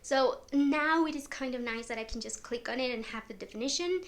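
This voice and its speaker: A woman's voice: high voice, fairly quick. A young woman, not a native English speaker, chatting casually as a book vlogger, with lots of fillers and small laughs. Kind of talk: casual chat.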